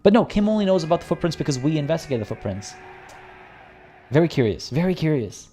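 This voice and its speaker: A man streaming a video game, chatting casually with viewers in a rambling way, and slightly hoarse, as if losing his voice. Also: fairly high pitch, very animated, fast talker, dark tone, slight background noise. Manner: rambling and chatty, frequent restarts. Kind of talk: casual livestream commentary.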